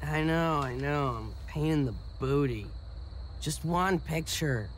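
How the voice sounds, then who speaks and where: A nerdy young male student played by an actor, awkward and a bit tipsy, talking in a halting, self-deprecating way. It is video game acting with faint night ambience behind. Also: on the high side, a little hiss or hum, close to an everyday voice. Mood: sheepish.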